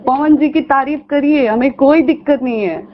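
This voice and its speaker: A woman's voice: high-pitched, normal speed. A woman with an eastern Hindi-belt accent argues and pleads insistently on a recorded phone call, repeating her questions. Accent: eastern Hindi belt, Bhojpuri region. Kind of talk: emotional phone conversation, arguing and pleading.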